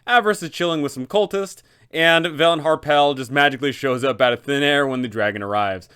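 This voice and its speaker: A man's voice: on the high side, a little fast, a bit bright, clear, resonant tone. A man hosting a guide for game masters, explaining how to run a fantasy role-playing campaign. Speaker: host, game master giving advice. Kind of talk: explanatory narration with role-play advice.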